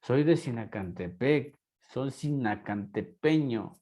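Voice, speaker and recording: A man's voice: medium pitch, animated, slow pace, warm tone. A man with a Mexican accent, lecturing a class and asking rhetorical questions. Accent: Mexican Spanish. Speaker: teacher.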